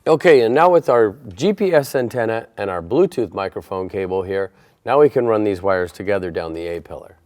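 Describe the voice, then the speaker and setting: Low-voiced man giving step-by-step instructions. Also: animated, brisk, darkish tone. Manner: methodical, plainspoken. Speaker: installation tutorial presenter.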